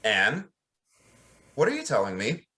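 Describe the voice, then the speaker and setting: A man's voice: mid-range voice, huge pitch swings, unhurried, sharp tone, full character voice. A man playing an earnest, naive young reverend in an amateur radio drama, awkward and questioning. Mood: concerned.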